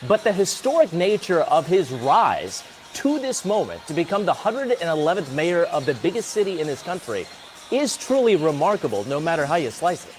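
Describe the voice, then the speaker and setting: A man anchoring live election coverage, giving commentary with an animated, rhetorical delivery. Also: on the high side, normal speed, faint music behind. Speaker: TV news anchor.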